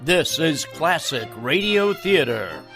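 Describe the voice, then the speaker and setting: Loud music backs a man announcing in a grand radio-intro style. He is very slow and deliberate, with lively swings in pitch. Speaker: show announcer.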